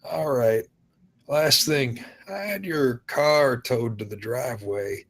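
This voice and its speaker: A man's voice: mid-range voice, slow, no room sound, clean studio sound. A man playing an anxious, caring father in his fifties in a screenplay table read. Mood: worried, caring.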